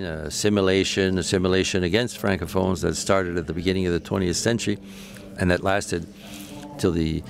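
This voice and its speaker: A man interprets a panel discussion live in a deep, gravelly voice. He speaks haltingly, with frequent fillers, and corrects himself as he catches up with the speakers. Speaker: simultaneous interpreter.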